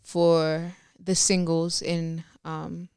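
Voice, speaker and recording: Crisp, chatty young woman. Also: deep voice, flat delivery, extremely slow, a little mellow, no room sound, studio quality. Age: young adult. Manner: chatty, rambling, self-interrupting. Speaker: podcast co-host. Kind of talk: casual chat.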